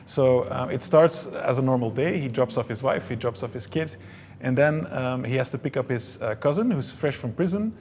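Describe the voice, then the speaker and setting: A gravelly-voiced man from Belgium talks in a stop-start way, with plenty of fillers and false starts. His first language is Dutch, and he answers interview questions at an ordinary, middle pitch. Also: lively intonation, moderate pace, a little mellow, slight background noise. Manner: hesitant, many restarts and fillers. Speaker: film director.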